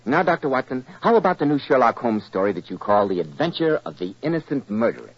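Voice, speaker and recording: A man with an American accent announcing and pitching a sponsor in a polished, emphatic 1940s radio style. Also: sing-song, quick pace, no echo. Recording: muffled old radio recording.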